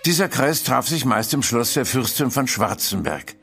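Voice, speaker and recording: A man's voice: medium pitch, expressive, moderate pace, vocal fry, a bit bright, faint music behind. A man giving formal, scripted dramatic narration as the storyteller of a German audio drama.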